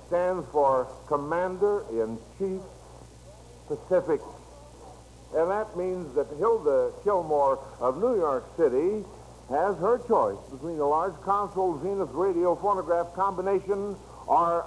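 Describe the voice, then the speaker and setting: A man with an American accent hosting an old-time radio quiz show, folksy and chatting to the listeners as friends. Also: high voice. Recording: noisy vintage radio broadcast recording.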